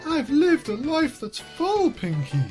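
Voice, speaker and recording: A talking cartoon cupcake on its deathbed, put on by a woman storyteller, slow and melodramatic. It is noble and consoling, with a halting, solemn delivery. Music sits behind the voice. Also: high-pitched, wildly swooping pitch, a little mellow, pitched above the performer's own voice, exaggerated voice.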